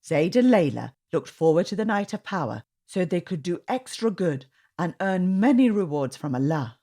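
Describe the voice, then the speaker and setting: A woman reading a storybook aloud to children in an expressive, sing-song way. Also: on the low side, normal speed. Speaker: storytime reader. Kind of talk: children's read-aloud narration.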